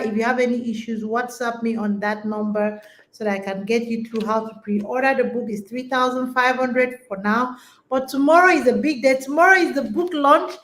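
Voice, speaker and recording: High-voiced woman giving an emphatic pep talk. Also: a bit bright, low-quality recording. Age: 40s. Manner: emphatic and exhorting, with repeated rallying phrases. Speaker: conference host and women's coach. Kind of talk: motivational talk to an online audience.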